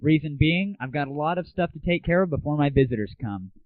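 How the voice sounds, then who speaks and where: A man's voice: fairly high pitch, expressive, brisk, no room sound. A man hosting a casual solo show, giving blunt, opinionated commentary peppered with swearing. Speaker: show host. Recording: narrow, phone-like lo-fi audio.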